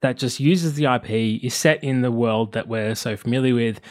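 A man's voice: medium pitch, normal speed, darkish tone, dry recording. A man presenting as host, moving between scripted narration and interview questions.

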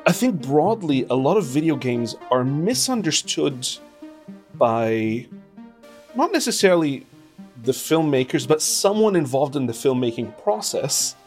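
A man's voice: on the high side, big pitch swings, a little slow, faint music behind. A man giving thoughtful, opinionated answers as an interview guest, speaking conversationally and with emphasis. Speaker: interview guest, video game composer and radio presenter.

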